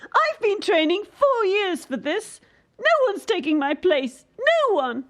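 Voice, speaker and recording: A woman's voice: very high-pitched, very animated, takes it slowly, fairly bright tone, heavily acted. A woman acting in a radio play as a bitter, defiant young elite gymnast under pressure. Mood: desperate, defiant.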